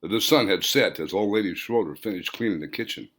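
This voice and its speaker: A man reading his own novel aloud as a dramatic audiobook, phrasing deliberately and drawing out words for emphasis. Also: sits low, fairly bright tone. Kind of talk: dramatic scripted audiobook narration.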